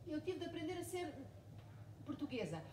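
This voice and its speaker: A woman's voice: high-pitched, moderate pace. Emphatic woman in an echoey bookshop. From Mozambique. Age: 40s. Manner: emphatic and repetitive, animated storytelling. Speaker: author guest. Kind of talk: conversation at a live book event. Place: bookshop event room. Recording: echoey room, far mic, noisy.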